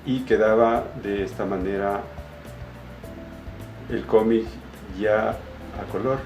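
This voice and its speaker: An Ecuadorian man giving an informal, somewhat halting explanation of his work as an illustrator, often repeating words. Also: on the low side, fairly even intonation, a little mellow. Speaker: comic illustrator. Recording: roomy echo with music behind. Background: background music.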